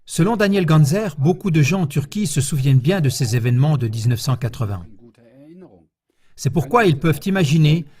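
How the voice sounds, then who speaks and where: A man reading a scripted news report in a measured, formal voice-over. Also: fairly high pitch, lively intonation, a little mellow. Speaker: news narrator.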